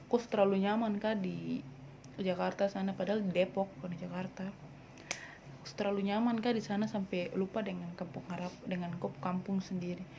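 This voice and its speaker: A woman's voice: medium pitch, darkish tone. Papuan girl in her 20s doing a q&a vlog, blunt and teasy, throws in english, noisy room behind her. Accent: Papuan Indonesian. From Papua, Indonesia. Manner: blunt, teasing, mixes in English words. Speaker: vlogger. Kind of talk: casual Q&A vlog.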